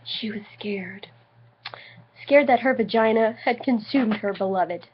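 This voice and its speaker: A woman's voice: fairly high pitch, measured pace, breathy edge. A tipsy woman giving a theatrical, mock-dramatic reading of comic fan fiction, prone to giggling. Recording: slightly dull lo-fi home recording.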